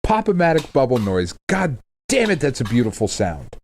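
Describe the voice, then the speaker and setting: A man in middle age with a very animated voice that moves widely in pitch. He talks like a podcast co-host in loose, casual chat, emphatic and irreverent, with plenty of swearing. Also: normal speed, slight background noise.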